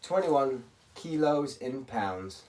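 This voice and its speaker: A man from Spain giving a casual, rambling hands-on tutorial as a racquet stringer, often clearing his throat. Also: mid-range voice, expressive, takes it slowly, darkish tone, poor audio. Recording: clattering workshop tool noise.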